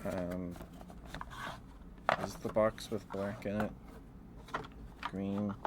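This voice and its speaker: A man with a very low voice rambles through an ASMR chat at an unhurried, slow pace. He is hesitant and meandering, speaking close to the mic. Clear background noise sits behind his voice. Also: level tone. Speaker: ASMR streamer. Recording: clearly noisy room ambience.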